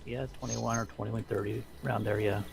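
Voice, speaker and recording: A man's voice: lowish voice, level tone, a little fast, vocal fry, slight background noise. A man talking casually with his teammates during a multiplayer game. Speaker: player on the stream. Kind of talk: casual gaming chat.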